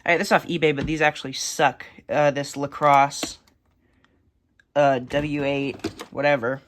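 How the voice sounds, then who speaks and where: A young man giving a casual, unscripted show-and-tell, halting and matter-of-fact as he lists items. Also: on the high side, level tone. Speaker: hobbyist collector giving a tour. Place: indoors in a room, handling plastic bins. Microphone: handheld phone camera. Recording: noisy handheld recording with clattering.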